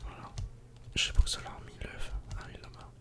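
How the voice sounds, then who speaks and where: A man whispers casual ASMR game commentary in a breathy, unvoiced voice, speaking directly to the viewer. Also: fast. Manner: casual, soft-spoken, addressing the viewer directly. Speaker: ASMR gaming video creator.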